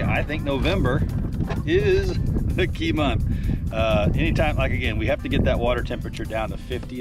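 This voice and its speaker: A middle-aged man, a fishing guide, talking casually and enthusiastically to camera while fishing from a boat on a lake, recorded on an action camera. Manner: enthusiastic, chatty salesman pitch with fillers. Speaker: fishing guide and channel host. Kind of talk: outdoor fishing vlog, product talk.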